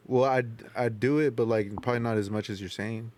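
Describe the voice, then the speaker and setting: A man's voice: medium pitch, steady tone, fairly quick, a little mellow, a little hiss or hum. A man chatting casually in slang on a podcast, circling back and repeating himself as he explains. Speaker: podcast co-host. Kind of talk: casual, slangy group chat.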